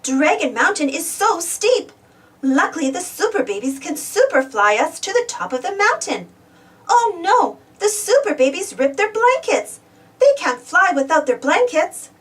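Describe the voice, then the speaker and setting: A woman reads a storybook aloud for small children in a high voice. Her intonation swings widely, with exclamations and questions aimed at the young listener. She sounds somewhat distant from the microphone. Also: very animated, a little slow, fairly bright tone. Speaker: storybook reader. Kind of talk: animated children's read-aloud narration.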